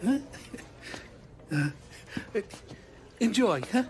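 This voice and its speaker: Fawning Italian trattoria owner: high, animated male voice, eager and deferential, for a crime game. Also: extremely slow, exaggerated voice. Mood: effusive, welcoming. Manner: gushing, deferential, chuckling. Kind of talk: cinematic video game dialogue.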